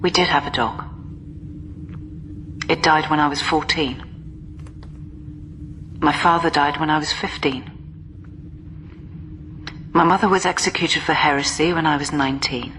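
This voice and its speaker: A woman's voice: low-pitched, steady tone, unhurried, long pauses, fairly bright tone, a little hiss or hum. A woman acting in a radio drama as a guarded, stern woman of a devout future society, clipped, formal and emotionally withholding. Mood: stark, confiding.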